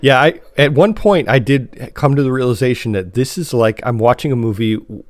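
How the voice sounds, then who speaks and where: A man's voice: medium pitch, a little fast, no room sound. Casual male podcast co-host, close dry sound. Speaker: podcast co-host reviewing post-apocalyptic media. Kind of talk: casual review chat.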